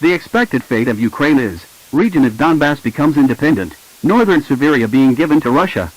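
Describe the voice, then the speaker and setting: A man's voice: mid-range voice, expressive, fairly quick, low-quality recording. A man reading a scripted emergency broadcast announcement in a formal, impersonal way.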